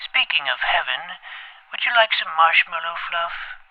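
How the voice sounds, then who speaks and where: A man playing a pompous, precocious twelve-year-old boy detective in an audio drama, formal and wordy, with a creaky voice. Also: on the high side, expressive, unhurried, no room sound, dull audio. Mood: inquisitive. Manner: formal, verbose, clipped interrogator's delivery. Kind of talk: scripted audio drama acting.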